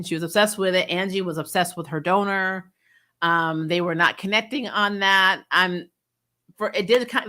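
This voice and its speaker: A woman giving a casual, frank, salty and animated spoken review as the host of a recap show. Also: mid-range voice. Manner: animated storytelling, laughs often, frank and salty. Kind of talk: casual chatty episode review, reacting to live comments.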